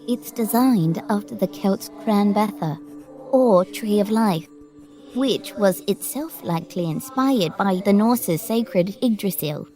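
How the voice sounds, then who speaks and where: An actress playing a playful, mysterious young woman with a teasing, slightly formal way of talking, in an audio drama with a soft music score underneath. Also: medium pitch. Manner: teasing, sly, lightly formal.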